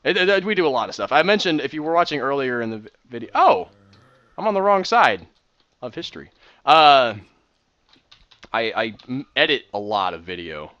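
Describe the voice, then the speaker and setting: A man in his thirties, excitable and rambling, chatting casually while playing a building game. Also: medium pitch, moderate pace, no room sound, muffled recording. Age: 30s. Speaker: Minecraft builder and streamer. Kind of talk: casual commentary while gaming.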